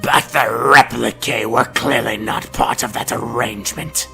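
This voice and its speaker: A man's voice: medium pitch, fairly even intonation, creaky, bright, forceful tone, soft background music, pitched below the performer's own voice. A man doing a growling villainous Inquisitor. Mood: cold. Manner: growling, slow and measured. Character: menacing male Imperial Inquisitor villain.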